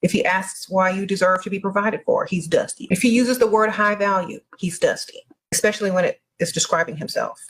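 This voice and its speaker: A woman's voice: medium pitch, a little fast, fairly bright tone, slight background noise. A woman giving blunt, emphatic advice, leaning on repeated catchphrases.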